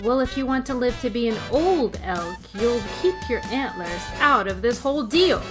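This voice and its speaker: A woman's voice: fairly high pitch, lively intonation, normal speed, loud music behind the voice, lightly acted. A woman playing a wisecracking schoolteacher with a dry, sardonic manner in a 1950s-style radio comedy. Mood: wry. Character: wry, wisecracking female schoolteacher heroine.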